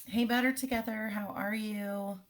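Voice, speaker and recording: Hoarse woman chatting casually. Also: mid-range voice, fairly even intonation, normal speed. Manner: chatty, many ums, clears throat often. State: hoarse, losing her voice. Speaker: direct sales consultant. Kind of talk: casual how-to video.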